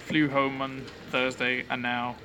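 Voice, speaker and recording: A man's voice: medium pitch, monotone, unhurried, crowd noise. A man being interviewed as a band musician, chatting casually in a joking, rambling way. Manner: jokey, rambling.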